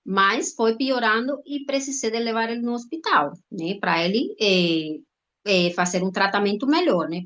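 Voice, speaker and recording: A woman with a Cuban accent, a native Spanish speaker, giving an informal testimonial haltingly, with frequent check-in tags. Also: medium pitch, a bit bright. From Cuba. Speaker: guest speaker, doctor. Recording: dull lo-fi online-call audio.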